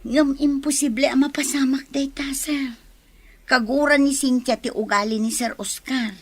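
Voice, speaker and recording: An inquisitive female underling in a village radio drama. Her voice is high and she addresses her superior with deference. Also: steady tone, moderate pace, breathy edge, no room sound.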